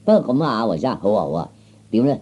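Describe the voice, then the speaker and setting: A man's voice: fairly low pitch, very animated, fast, dark tone, muffled recording. A man acting a glib, wheedling young man who is broke, with stammers and chuckles, in a Cantonese radio comedy from the 1960s. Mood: hesitant, obsequious.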